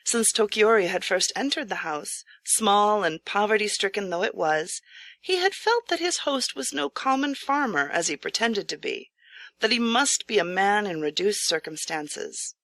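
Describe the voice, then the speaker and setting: Female audiobook narrator: bright, measured and expressive, very dry studio-like sound. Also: medium pitch, no echo. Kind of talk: scripted story narration.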